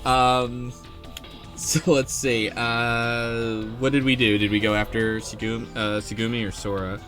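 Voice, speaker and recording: A man's voice: mid-range voice, flat delivery, a little slow, fairly bright tone, soft background music. A man hosting a casual group discussion of a game, rambling as he thinks aloud. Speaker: podcast host.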